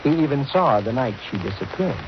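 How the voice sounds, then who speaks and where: An actor playing a sceptical, brusque man in 1940s radio style, brisk and dismissive. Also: medium pitch, expressive, natural voice. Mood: suspicious. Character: sceptical, brusque brother-in-law, no-nonsense. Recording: muffled noisy old radio recording.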